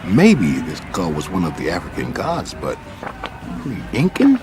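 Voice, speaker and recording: An actor in a radio drama playing a grave, probing man who questions slowly and deliberately. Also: sits low, wildly swooping pitch, over music, full character voice.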